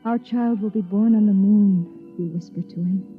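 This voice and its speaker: Actress as vulnerable young wife, intimate and emotional vintage radio drama delivery, thin phone-like recording. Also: female voice, mid-range voice, normal speed, close to an everyday voice. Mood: dreamy, tender. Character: frightened, remorseful young wife narrating her own ordeal. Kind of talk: 1950s radio drama acting. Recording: tinny 1950s radio recording, music behind.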